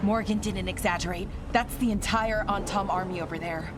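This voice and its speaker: An actress playing a young female elven mage in a video game, earnest, nervous and a little halting. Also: medium pitch, background noise, natural voice. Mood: anxious concern. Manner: hesitant, halting. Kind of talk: video game drama acting.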